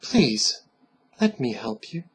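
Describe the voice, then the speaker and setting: A male narrator voicing a wicked man in a dark fantasy story, measured and husky, with a falsely tender manner. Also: mid-range voice, big pitch swings, voice pushed down, exaggerated voice. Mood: coaxing.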